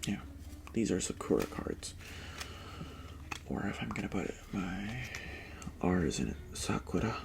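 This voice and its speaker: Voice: sits low, sing-song, measured pace, long pauses. A young adult Tagalog speaker, rambling in a chatty whispered ASMR chat in a bedroom, often breaking off mid-sentence. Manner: rambling, chatty, self-interrupting whisper with sudden breathy exclamations. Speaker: ASMR creator. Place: bedroom indoors.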